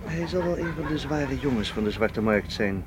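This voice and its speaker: Radio drama spy, male actor, seasoned and protective, firm explainer, medium pitch, clearly noisy recording. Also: lively intonation, moderate pace, natural voice. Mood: explaining. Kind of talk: Dutch radio play acting.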